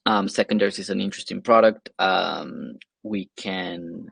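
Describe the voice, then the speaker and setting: A man's voice: deep voice, moderate pace, creaky, fairly bright tone, breathy edge, dry recording. A man from Venezuela, a guest explaining his work in a webinar interview, hesitant with many fillers and restarts. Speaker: guest, investment platform associate.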